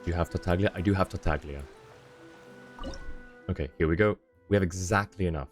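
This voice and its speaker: A man's voice: low voice, fairly quick, soft background music. A man live-streaming a video game, chatting casually with viewers as he plays. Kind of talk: casual chat while playing a game for viewers.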